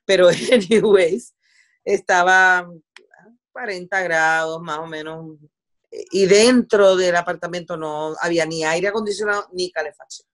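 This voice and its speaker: A woman's voice: lowish voice, steady tone, a little slow. Puerto Rican woman recalling her reporting days. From Puerto Rico. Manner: mixes English words into Spanish. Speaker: interviewee, veteran television journalist. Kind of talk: conversational recollection in an interview.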